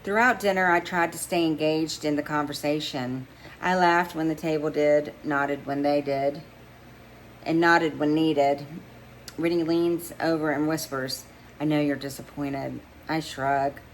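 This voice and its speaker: Female amateur narrator, low register, romance read-along with occasional stumbles and re-reads, slightly noisy sound. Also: steady tone, unhurried. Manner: stumbles over words and re-reads phrases. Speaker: narrator reading a novel aloud. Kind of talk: amateur read-along of romance fiction, first-person storytelling.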